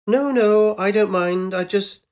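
A woman's voice: medium pitch, a little slow, a little mellow, pitched above the performer's own voice, natural voice. A man voicing a bewildered, ancient woman who speaks in eager, faltering bursts. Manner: faltering, uncertain questions.

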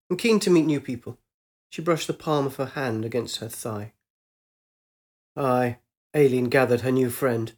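A man with a croaky, slightly worn voice narrates a story. He reads at a steady, measured pace in an ordinary mid-range pitch.

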